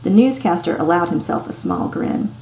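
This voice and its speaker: A woman teacher reading a story aloud to children in an expressive, animated way with dramatic emphasis. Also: lowish voice, normal speed. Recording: echoey room with clear background noise.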